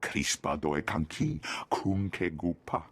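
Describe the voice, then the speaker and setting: A man voicing a rough-voiced alien speaking an invented language in a video game. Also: sits low, big pitch swings, slow pace, full character voice. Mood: matter-of-fact. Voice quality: husky, rough.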